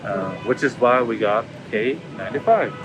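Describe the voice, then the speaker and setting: A man from Sweden chatting casually on a city street, slow and hesitant. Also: medium pitch, lively intonation, gravelly, music in the background, poor audio. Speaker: travel vlogger. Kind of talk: casual chat.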